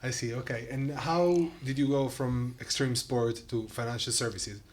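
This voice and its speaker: A man's voice: medium pitch, steady tone, moderate pace. A man in his thirties hosting a podcast, asking short questions and follow-ups, recorded on a studio mic in a small room. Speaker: podcast host. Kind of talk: interviewing. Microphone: studio mic on boom arm.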